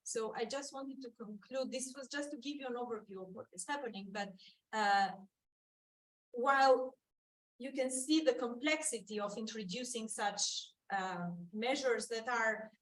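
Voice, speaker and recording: A woman's voice: on the high side, normal speed. A woman giving an academic conference talk, hesitant, with many fillers and restarts. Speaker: conference panel speaker. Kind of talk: academic presentation. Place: conference hall. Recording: roomy hall mic from far off with hiss.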